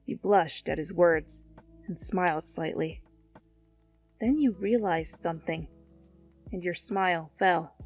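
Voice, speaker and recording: A woman's voice: lowish voice, takes it slowly, long pauses, husky. Woman narrating a story over music. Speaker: narrator, fan fiction reader. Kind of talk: expressive second-person story narration. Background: background music.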